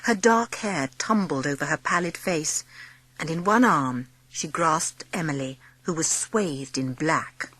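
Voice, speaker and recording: A woman's voice: low voice, expressive, a little slow, a bit bright. A woman reading scripted narration for a storybook audiobook drama.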